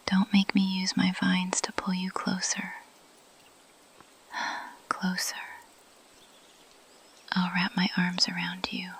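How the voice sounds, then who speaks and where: A woman playing an ancient, possessive plant monster girl in an intimate ASMR roleplay, speaking in a breathy, lingering whisper. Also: on the low side, a little hiss or hum. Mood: coaxing, protective.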